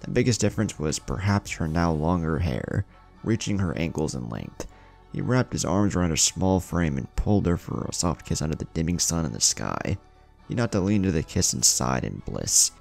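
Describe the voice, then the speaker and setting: A man's voice: deep voice, lively intonation, moderate pace, gravelly, a little mellow, faint music behind. A man reading scripted story narration as the narrator.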